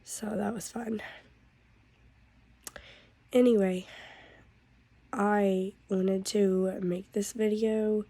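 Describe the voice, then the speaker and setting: A woman's voice: medium pitch, flat delivery, drawn-out words, long pauses, warm tone, no echo. A woman vlogger who is sick, talking to the camera in a weary, casual way. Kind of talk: casual vlog talk.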